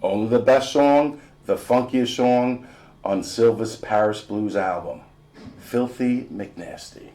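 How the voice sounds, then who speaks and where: A man's voice: mid-range voice, takes it slowly, warm tone, lightly acted. An American man voicing a proud, playfully insistent jazz-loving father in a storybook, with emphatic delivery. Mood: enthusiastic.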